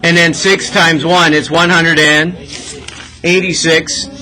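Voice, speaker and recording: A man with a rather high, bright voice works as a math teacher, tutoring step by step. He keeps it conversational, putting short checking questions to the learner and often tagging on a "right?". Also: steady tone, takes it slowly, a little hiss or hum, poor audio.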